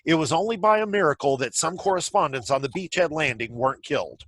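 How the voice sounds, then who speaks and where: A man's voice: a little high, lively intonation, fast, fairly bright tone, no echo. A man playing a solemn 1940s radio announcer reading wartime reports in a play table read over a video call.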